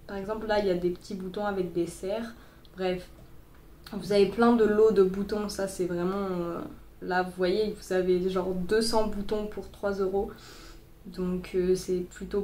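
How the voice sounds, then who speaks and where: A woman's voice: mid-range voice, a little mellow, echoey room, a little hiss or hum. A young woman chatting casually in a sewing tutorial, full of fillers and restarts, a little stuffy from a cold, recorded on a phone. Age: young adult. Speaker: sewing vlogger. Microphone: boyfriend's phone.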